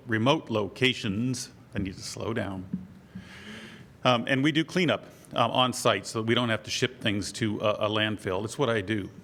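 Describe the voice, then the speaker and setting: A man running for office, speaking brisk and assertive at a forum, his voice a bit scratchy from a cold. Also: lowish voice, a bit bright. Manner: brisk, assertive, rushes then slows down. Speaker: political candidate. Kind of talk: candidate forum statement.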